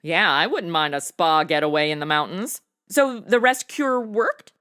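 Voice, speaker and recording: A woman's voice: on the low side, lively intonation, moderate pace, fairly bright tone, smooth. An American woman hosting a podcast in a chatty interview style, with a sassy, slangy, playful way of talking. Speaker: podcast host. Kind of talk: chatty semi-scripted interview.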